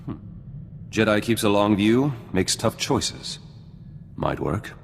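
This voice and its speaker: A man's voice: lowish voice, expressive, slow pace, vocal fry, a little hiss or hum, exaggerated voice. An actor playing a hardened, terse rebel fighter in a video game, grim and suspicious, dropping small words as he talks. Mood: gruff bargaining. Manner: clipped speech that drops small words. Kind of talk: video game dialogue acting. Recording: long hall reverb, music behind.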